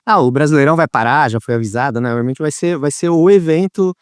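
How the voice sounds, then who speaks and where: Quick-talking chatty male interviewer. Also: fairly high pitch, clean tone, no echo, studio quality. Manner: quick, chatty, restarts phrases. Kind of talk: interview questions, casual chat.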